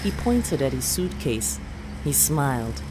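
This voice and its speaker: A woman's voice: extremely low pitch, sing-song, measured pace, background noise. A woman with a South African accent reading a short story as dramatic literary narration.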